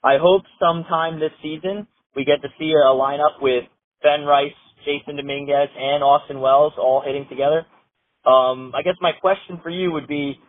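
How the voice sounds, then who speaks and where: Man, casual fan voicemail, slightly high voice, thin phone sound. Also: no room sound. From New Jersey, United States. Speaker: caller. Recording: tinny phone voicemail line.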